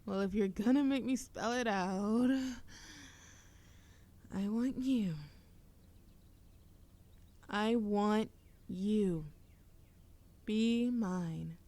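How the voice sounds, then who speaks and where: A woman's voice: medium pitch, drawn-out words, long pauses, dark tone. A woman playing a playful young witch smitten with a childhood friend, teasing and affectionate in an intimate romantic audio roleplay. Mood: earnest, tender. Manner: teasing, affectionate, breathy laughs and whispers.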